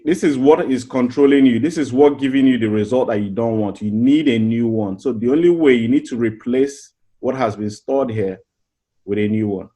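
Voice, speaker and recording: A man's voice: mid-range voice, dark tone. Earnest middle-aged man coaching. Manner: earnest and conversational, repeats and restarts phrases, asks rhetorical questions. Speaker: life coach teaching an online class. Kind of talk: informal motivational lecture.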